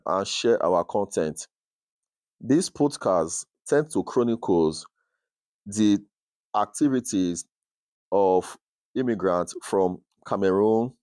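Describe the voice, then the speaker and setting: A man's voice: low voice, darkish tone, no echo, clean studio sound. A man hosting a podcast, introducing the show and interviewing a guest.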